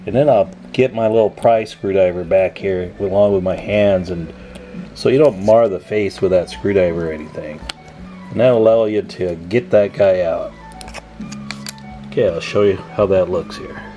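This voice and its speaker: A man's voice: sits low, normal speed, dark tone, muffled recording, faint music behind. A man giving a casual hands-on radio repair tutorial, thinking aloud in a folksy, informal way. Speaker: radio repair hobbyist hosting a tutorial video.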